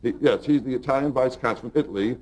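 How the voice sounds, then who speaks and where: A man's voice: mid-range voice, quick pace, a little mellow, a little hiss or hum. A man in his fifties, a bistro owner visiting a talk show, chatting in a rambling, joking way and chuckling between lines. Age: 50s.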